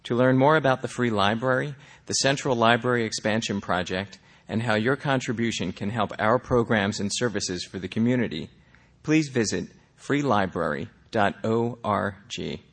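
A man's voice: on the low side, moderate pace, a bit bright. A man reading a scripted introduction as the announcer of a recorded event series.

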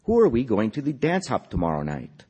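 A man's voice: mid-range voice, huge pitch swings, brisk, mellow, breathy edge, muffled recording, voice pushed up. A man voicing a stern giant female mantis teacher, commanding and hissing, with a mock Eastern European accent, in a story reading. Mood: imperious, bossy.

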